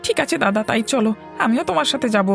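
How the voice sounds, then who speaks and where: A woman's voice: on the high side, expressive, brisk, gravelly, faint music behind. A woman voicing an earnest, loyal younger village sidekick in a cartoon, eager and pleading. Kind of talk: cartoon story voice acting.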